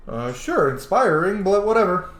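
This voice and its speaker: A man's voice: high voice, big pitch swings, moderate pace, a bit bright. A man hosting a casual roleplay let's play, reacting irreverently and swearing freely. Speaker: gaming channel host. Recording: echoey room, faint game music behind. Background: faint game music.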